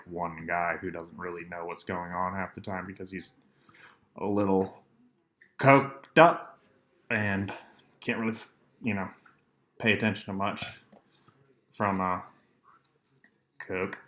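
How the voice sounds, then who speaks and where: A young man with a deep, low-pitched voice talks alone on his podcast. He rambles from one aside to the next in a dry, deadpan way, filling the gaps with plenty of ums. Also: unhurried, pauses often. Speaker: solo podcast host. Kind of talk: rambling solo podcast chat.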